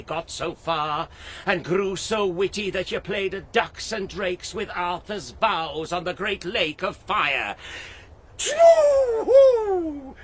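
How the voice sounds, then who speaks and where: A wild, mocking court fool in a theatrical verse drama. His bright, high voice swings from low musing to shrill cries, slowly savouring the words, with faint noise behind. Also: heavily acted. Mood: manic glee. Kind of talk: theatrical audio drama acting of archaic verse.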